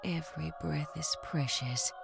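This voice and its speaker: A woman playing a serene, eerie older mother superior in a game, speaking in a hushed, breathy, gentle way. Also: sits low, steady tone, a little slow, exaggerated voice. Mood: eerily serene. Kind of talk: visual novel voice acting. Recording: music behind the voice.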